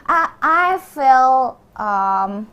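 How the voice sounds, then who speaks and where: A woman with an Indonesian accent co-hosting an online storytelling festival in casual, unscripted chat, often pausing to search for words. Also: high-pitched, animated, smooth, dull audio.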